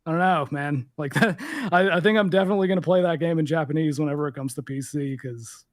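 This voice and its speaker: A man's voice: on the high side, fast, no echo, studio quality. A man in casual podcast chat about video games, rambling and opinionated, with many fillers and restarts. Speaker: podcast co-host.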